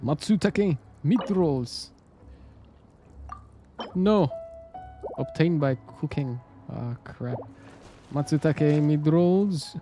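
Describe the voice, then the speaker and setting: A man's voice: a little high, very slow, long pauses, warm tone. A man with an Indonesian accent chatting casually on a live game stream, switching languages and muttering to himself. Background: game music.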